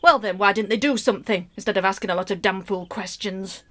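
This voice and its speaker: An actress playing an anxious middle-aged mother, telling her story in an expressive, wry first-person radio play monologue. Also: mid-range voice, quick pace, natural voice. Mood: weary, anxious. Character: anxious middle-aged mother recounting a troubled family holiday.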